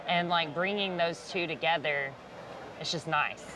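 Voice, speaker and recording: A woman's voice: low-pitched, unhurried, noisy background. A woman interviewing a brand founder, enthusiastic and full of "like" fillers. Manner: enthusiastic, heavy use of "like". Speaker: interviewer, barber. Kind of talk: sit-down interview. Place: outdoors at a busy trade convention.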